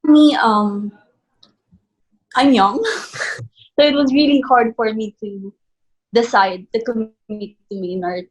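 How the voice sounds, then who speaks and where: A woman's voice: on the high side, slow pace, long pauses, rough recording. A woman in her twenties from the Philippines chatting as a guest, reflective and hesitant with fillers. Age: 20s. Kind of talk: casual chat.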